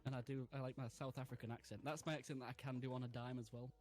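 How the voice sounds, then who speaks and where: A man's voice: medium pitch, flat delivery, racing, darkish tone. A man in his twenties chatting casually and laughing often while streaming a game. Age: 20s. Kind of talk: casual gaming chat.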